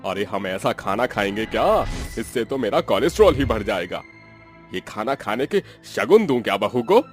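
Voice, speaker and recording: A man's voice: lowish voice, very animated, normal speed, faint music behind. An actor playing a grumpy, fault-finding older father-in-law, stern, disdainful and always complaining. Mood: disgusted. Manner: complaining, scolding.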